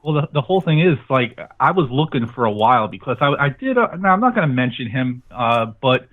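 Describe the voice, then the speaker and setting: A man's voice: medium pitch, big pitch swings, fairly quick. A man speaking as an interview guest in casual, talkative, informal conversation. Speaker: podcast network owner, guest. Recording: tinny phone-like line.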